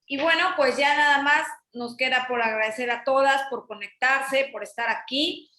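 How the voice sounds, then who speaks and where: A woman hosting an online group call, speaking informally and warmly to the participants and the guest. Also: high voice, fairly even intonation, normal speed, reverberant. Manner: friendly, informal with fillers. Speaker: event organizer and host.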